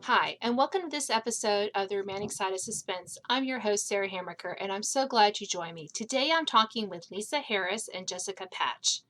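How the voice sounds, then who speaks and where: A woman hosting a podcast in a chatty, casual interview style, quick to laugh. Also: medium pitch, lively intonation, fairly bright tone, faint music behind. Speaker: podcast host.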